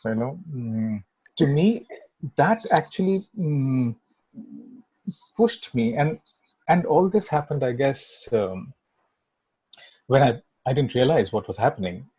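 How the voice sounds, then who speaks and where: A man's voice: medium pitch, sing-song, slow pace, long pauses, dark tone, husky, no room sound, low-quality recording. A middle-aged man from Kolkata, native Bangla speaker with an Indian English accent, talking as an interview guest in a rambling way full of fillers and "right?" tags. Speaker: guest filmmaker. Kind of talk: casual interview chat.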